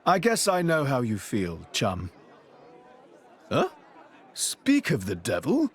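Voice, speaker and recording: Husky, animated British adventurer. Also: male voice, mid-range voice, slow, a faint crowd, heavily acted. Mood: casual. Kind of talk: video game voice acting.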